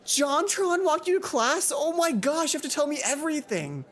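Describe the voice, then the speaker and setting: A let's play reader voicing an excitable, nosy teenage schoolgirl with a gushing, insistent delivery. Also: high-pitched, moderate pace, voice pushed up. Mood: amazed, gushing.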